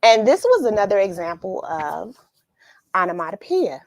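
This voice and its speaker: A woman's voice: mid-range voice, big pitch swings, moderate pace, no room sound. A woman hosting a children's read-along, chatting casually and expressively to young viewers and musing aloud as she addresses them. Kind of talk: casual chat to young viewers after a story reading. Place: at home.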